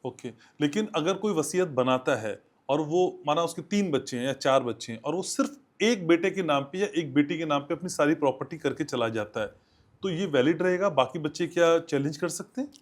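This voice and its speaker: A man's voice: on the high side, animated, brisk. A man hosting a podcast interview, putting long, detailed questions to a guest. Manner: frequent short acknowledgements, long detailed questions. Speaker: podcast host.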